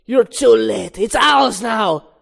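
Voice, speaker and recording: A woman voicing a blustering, sneaky man from an animated film, evasive in manner. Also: fairly high pitch, slow pace, vocal fry, pitched above the performer's own voice, heavily acted. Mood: defensive. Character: blustering, sneaky man cornered by a talking bee. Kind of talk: animated film script read-through.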